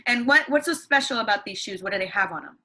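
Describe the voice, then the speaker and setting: Brief: young woman teacher, bright ringing voice, upbeat, quizzes her class and answers herself, computer mic at home. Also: mid-range voice, expressive, quick pace. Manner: upbeat, asks questions and answers them herself. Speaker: elementary school teacher. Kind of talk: read-along lesson for young students at home. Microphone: computer microphone.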